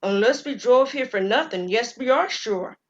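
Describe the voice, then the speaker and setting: A young college guy takes the lead on a risky trail, giving brisk, upbeat directions in a story drama, voiced by a woman storyteller. His voice sits fairly high and sounds lively as he keeps the group moving. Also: dull audio, natural voice. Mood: eager. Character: eager young college man, brave front, group leader. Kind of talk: audio drama acting.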